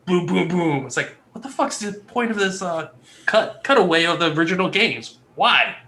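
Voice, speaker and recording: A woman's voice: on the low side, expressive, moderate pace, a bit bright, a little hiss or hum. A woman co-hosting a casual panel chat, exasperated and cursing, mocking scenes with put-on voices. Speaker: podcast co-host.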